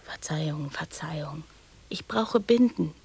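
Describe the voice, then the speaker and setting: A woman's voice: sits low, animated, measured pace, a little mellow, slightly rough, exaggerated voice. An actress playing a young girl in a radio drama, prattling and teasing in childlike fragments that often drop to whispers. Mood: hushed, secretive.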